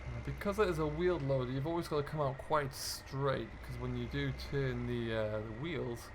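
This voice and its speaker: British man, chatty, over loud engine noise. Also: mid-range voice, steady tone, moderate pace. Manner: chatty, musing aloud, explanatory. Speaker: video game streamer, host. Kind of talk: casual commentary over farming simulator role play. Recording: clear engine and vehicle noise behind. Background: game tractor and loader engine sounds.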